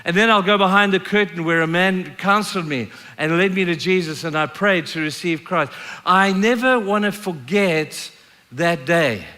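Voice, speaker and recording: A man's voice: high voice, normal speed, bright, forceful tone. A man in his sixties from Zimbabwe preaching a sermon, telling stories and reading scripture with emphatic repetition of key phrases. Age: 60s. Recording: reverberant hall sound.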